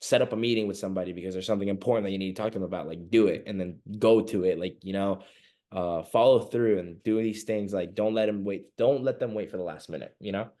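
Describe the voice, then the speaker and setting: Young man, from Venezuela, lowish pitch, casual rambling guest talk. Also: fast, mellow. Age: young adult. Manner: rambling, many fillers and laughs. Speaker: podcast guest. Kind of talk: casual interview chat.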